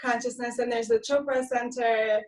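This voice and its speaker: Giggly higher-voiced woman, slightly distant mic. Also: fairly even intonation, moderate pace. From Romania, grew up in South Florida. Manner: giggly, often laughs between phrases. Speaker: interview guest, sound healer. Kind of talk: casual conversational interview. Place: video call. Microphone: video call microphone, slightly distant.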